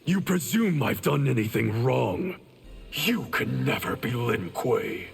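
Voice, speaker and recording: An actor playing a cold, ambitious male clan warrior in a video game, speaking in a stern, measured way. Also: mid-range voice, sing-song, gravelly, full character voice. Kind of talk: video game character voice acting.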